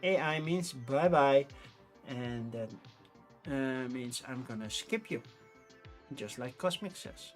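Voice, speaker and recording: A man's voice: mid-range voice, expressive, takes it slowly, long pauses, soft background music. A man with a Dutch accent, a native Dutch speaker, chatting casually and thinking aloud as a livestream host. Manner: rambling, informal, thinking aloud. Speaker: livestream host reviewing board game crowdfunding.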